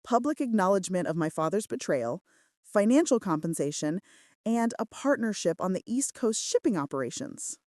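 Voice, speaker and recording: A woman's voice: medium pitch, sing-song, normal speed, darkish tone, no echo, studio quality, close to an everyday voice. A woman voicing an earnest, devoted male mafia boss in love in a romance audiobook. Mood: earnest, grateful.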